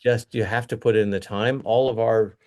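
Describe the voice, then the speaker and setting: A man's voice: lowish voice, fairly even intonation, moderate pace, mellow. A middle-aged man, a company executive, giving earnest, rambling interview answers full of restarts and fillers.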